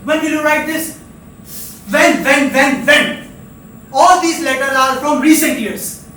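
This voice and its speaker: An actor playing a lonely, restless drifter who rambles in a theatrical, halting way, circling back over words. The delivery is slow and deliberate, heard from far off in a very echoey theatre with faint music behind. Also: sits high, fairly even intonation, pushed and bright, slight background noise, full character voice. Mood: anguished pleading. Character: lonely, restless, rambling rooming-house drifter baring their life. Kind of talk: live stage play monologue. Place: indoor theatre stage.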